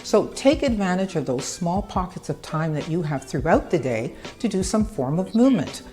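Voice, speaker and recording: A woman's voice: lowish voice, big pitch swings, normal speed, mellow. A woman presenting a short scripted fitness tip segment for a sponsor, with a polished, upbeat delivery.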